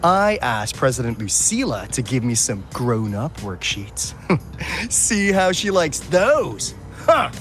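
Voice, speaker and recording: A man's voice: medium pitch, big pitch swings, a bit bright. An actor playing an easygoing, wry young male agent in a video game, talking casually with teasing asides. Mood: wry, amused. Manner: casual, teasing, drawling then brisk asides. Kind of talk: video game cutscene voice acting, conversational. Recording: music behind the voice.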